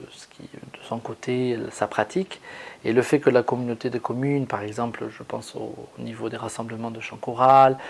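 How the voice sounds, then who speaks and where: A man's voice: medium pitch, gravelly, darkish tone. A man speaking as a municipal cultural manager in a reflective interview, often hesitating, circling back and rephrasing as he goes.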